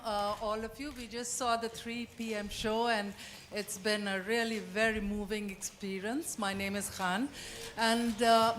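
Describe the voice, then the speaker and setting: Female journalist, quiet halting question with fillers, faint room noise behind. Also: medium pitch. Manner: soft-spoken and halting, with many fillers. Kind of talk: press conference question. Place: press conference room.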